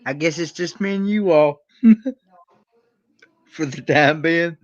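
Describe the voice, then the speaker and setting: A man with a fairly high voice chats casually as a panel member on a livestream, often repeating his phrases. The sound is close and dry but a bit dull. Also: slow, dry recording. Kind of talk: casual group chat. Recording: dry, muffled lo-fi voice-chat audio.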